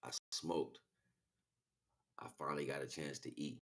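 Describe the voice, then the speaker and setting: A man's voice: very deep voice, steady tone, unhurried, a bit bright. A man livestreaming a casual, rambling spiritual monologue in street slang, often checking in with rhetorical questions. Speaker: livestream host.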